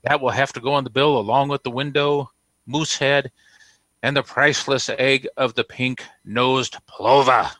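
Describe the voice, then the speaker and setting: Actor as pompous, bright-voiced store proprietor. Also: male voice, medium pitch, fairly even intonation, normal speed, no room sound, close to an everyday voice. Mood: stern indignation. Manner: pompous, exacting, formal. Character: pompous, indignant old-fashioned store proprietor. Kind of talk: 1940s radio comedy acting. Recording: vintage radio broadcast.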